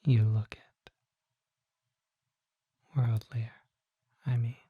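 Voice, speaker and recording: A performer voicing a courteous, curious vampire apothecary in an ASMR roleplay, soft and often whispering, with genteel, leisurely, musing speech. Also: medium pitch, little pitch movement, extremely slow, husky, dry recording, highs cut off, exaggerated voice.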